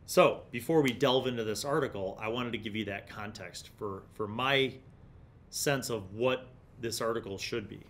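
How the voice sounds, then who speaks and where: A man's voice: lowish voice, moderate pace, fairly bright tone, slight background noise. A middle-aged man with an American accent, talking casually and explaining things with emphatic stresses, as a host reviewing on a studio microphone. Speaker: YouTube host reviewing old gaming magazines. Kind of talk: casual review and reminiscence, partly reading aloud. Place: small indoor studio room with shelves. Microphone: large desktop studio microphone in front of him.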